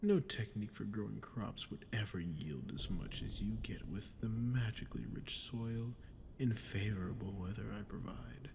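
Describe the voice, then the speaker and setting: A man voicing an ancient sadistic demon in an ASMR roleplay, breathy and often near a whisper, taunting and condescending as he speaks straight to the listener. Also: on the low side, background noise. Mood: sly menace. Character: ancient sadistic male demon, condescending, menacing, seductive manipulator. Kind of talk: scripted ASMR roleplay monologue.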